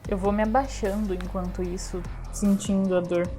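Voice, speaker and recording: A woman's voice: medium pitch, flat delivery, moderate pace, mellow. A Brazilian woman casually narrating her character's actions as a player in a tabletop role-playing game. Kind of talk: casual first-person description of game actions. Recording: music playing behind the voice. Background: music.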